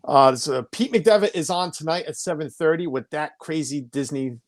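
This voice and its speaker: A man's voice: fairly high pitch, expressive, normal speed, dry recording, clean studio sound. A man in his forties hosting a casual live stream from home, chatty, stumbling over names and reading viewer comments aloud. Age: 40s. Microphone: home streaming setup.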